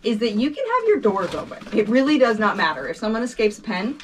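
A woman's voice: fairly high pitch, very animated, fast, a little hiss or hum. A woman in her thirties from Canada, explaining things casually to camera as a homesteader. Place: farm coop and yard.

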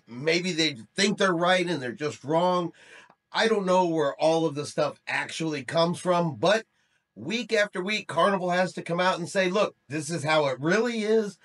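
A middle-aged man with a notably high-pitched voice hosts a news update. He talks straight to the viewer in a conversational style and leans firmly on his key words. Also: fairly bright tone. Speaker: host of a cruise news channel. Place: small room indoors.

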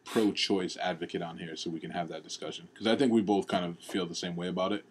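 A man in his thirties co-hosting a podcast at a kitchen table, telling stories in a loose, animated way, laughing and swearing often. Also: sits low, fast, husky. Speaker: podcast co-host. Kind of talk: casual chat. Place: kitchen table at home, indoors.

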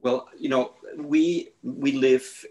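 A man, a guest architect speaking haltingly over a video call from a living room. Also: medium pitch, fairly even intonation, a little mellow, poor audio. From Switzerland. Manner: halting, many hesitations and restarts. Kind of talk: panel conversation.

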